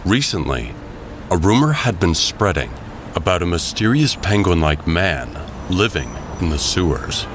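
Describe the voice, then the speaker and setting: A man narrating a scripted audio drama in a dramatic, deliberate storytelling way. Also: deep voice, very animated, noisy background. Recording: loud music bed behind the voice. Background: loud music.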